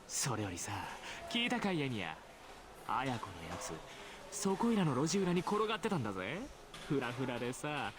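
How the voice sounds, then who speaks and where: A man's voice: medium pitch, background noise, natural voice. A man voicing a smug, sneering teenage schoolboy in a visual novel, with a mocking, flippant, theatrical delivery. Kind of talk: Japanese visual novel voice acting.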